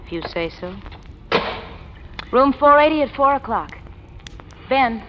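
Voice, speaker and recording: An actress playing a guarded, terse woman in an old radio noir drama, speaking in measured short phrases. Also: sits high, expressive. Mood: guarded, questioning. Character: terse, guarded woman questioning a crook informant. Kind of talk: 1940s-50s radio noir acting. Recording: muffled, hissy old radio recording.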